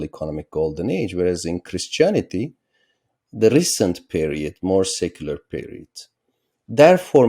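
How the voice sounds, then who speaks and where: A middle-aged man from Turkey speaks as an interview guest. His voice sits low and warm, and he explains in a measured, lecturing way, pressing hard on key words. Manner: measured, lecturing, with rising emphatic stresses. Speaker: political science professor, guest.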